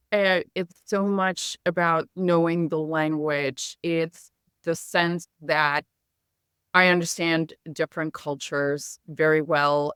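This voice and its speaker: A middle-aged woman, a native Hungarian speaker with an American accent, telling her story as an interview guest in an articulate, measured, formal way. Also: deep voice, fairly bright tone, clean tone, dry recording, studio quality. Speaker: interview guest, marketing executive.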